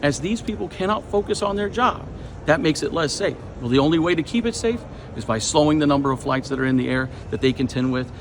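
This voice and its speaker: A man's voice: a little high, animated, fast talker. An American man making an emphatic statement to the press as a workers' representative. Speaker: air traffic controllers' representative. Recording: clear urban ambient noise behind.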